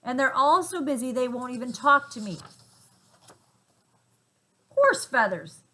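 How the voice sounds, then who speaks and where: A woman's voice: sits high, very animated, a little slow, pushed and bright, pitched above the performer's own voice, full character voice. A woman voicing grumbling, stubborn wild boars in a storybook reading, indignant and emphatic.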